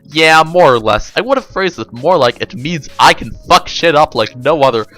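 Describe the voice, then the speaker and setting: A man's voice: fairly high pitch, lively intonation, normal speed, sharp tone. A man voicing a smug, foul-mouthed girl with a taunting, sarcastic manner in a story reading. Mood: smug explaining. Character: smug, triumphant, foul-mouthed girl who outwits others.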